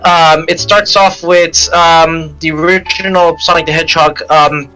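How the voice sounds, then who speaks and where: A man with a fairly high, very bright voice explains fan lore on a casual podcast. He is earnest and halting, going on at length over faint background music. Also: fairly even intonation, low-quality recording. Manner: halting, earnest, long-winded. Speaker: podcast co-host.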